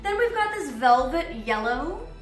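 A woman's voice: high voice, big pitch swings, a little slow, echoey room, soft background music. A young woman with a slightly nasal voice, just over a cold, giving a gushing, chatty house tour. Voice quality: nasal from recent illness. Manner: bubbly, gushing, lots of "like". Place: bright home interior, indoors.

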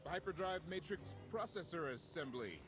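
An actor playing a gruff, sulky male starship pilot in a video game, with a curt, sardonic manner. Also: a little high, animated, moderate pace, close to an everyday voice. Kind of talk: video game dialogue acting. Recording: game audio with music.

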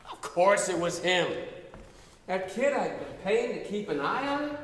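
An older man acts as a hard-boiled, scheming detective in a stage play. He talks slowly and tersely in a fairly high voice. The hall is reverberant, with faint noise behind. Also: very animated, a bit bright, exaggerated voice. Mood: weary, terse. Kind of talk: live stage play acting. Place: theater stage with audience, far camera. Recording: echoey theater hall, background noise.

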